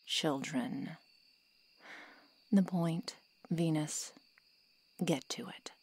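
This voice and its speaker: Cold, terse vampire woman , acted by a woman for ASMR roleplay: lowish, breathy, commanding, unhurried. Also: a little mellow, dry recording, full character voice. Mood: curt, stern. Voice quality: husky, often half-whispered. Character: curt, impatient, menacing female vampire.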